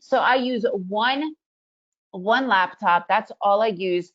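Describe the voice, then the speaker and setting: Casting: woman of Russian origin, upbeat webinar presenter, mid-pitched voice, slightly dull lo-fi audio. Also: slow, a bit bright. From Soviet Union, raised in the US. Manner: upbeat, chatty, leans on "right?" tag questions.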